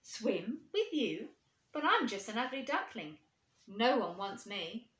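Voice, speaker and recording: A woman voicing a sad, lonely young male duckling in a storybook, plaintive and given to loud honks. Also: a little high, very animated, slow pace, slight background noise, exaggerated voice. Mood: doubtful, sad. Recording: echoey room.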